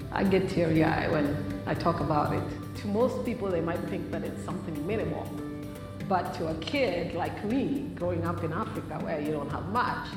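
A woman from Kenya, telling a reflective, heartfelt personal testimony. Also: low voice. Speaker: testimony speaker in a church video. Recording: video played in echoey hall, distant, with music.

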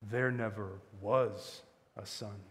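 A man voicing a cunning witch queen in a children's fantasy audiobook, soft and cooing, with slow, silky, condescending courtesy. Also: on the high side, lively intonation, long reverb tail, pitched above the performer's own voice, lightly acted. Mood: soft, hypnotic.

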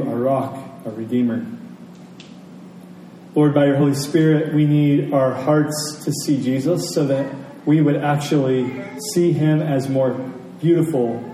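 A man preaching a sermon in a deliberate, emphatic way, with dramatic pauses between phrases. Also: a little high, dark tone. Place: church service, speaking to congregation. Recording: roomy echo, distant mic, faint noise.

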